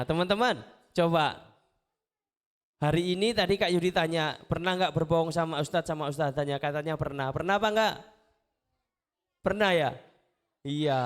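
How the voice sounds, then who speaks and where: A man giving emotional, repetitive motivational and devotional talk to schoolchildren. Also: a little high. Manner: pleading, repetitive phrasing with drawn-out invocations. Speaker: motivational speaker and storyteller for schoolchildren. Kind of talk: emotional led prayer and motivational talk to children. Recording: loud music under the voice. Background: loud instrumental music.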